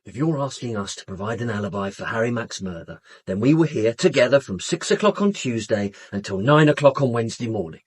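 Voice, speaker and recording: A man's voice: medium pitch, very animated, a little fast, few pauses, slightly rough, no echo. An actor playing a cool, supercilious British man in a radio drama, speaking in a dry, clipped, disdainful way. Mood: sardonic. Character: cool, supercilious, sardonic man under police questioning.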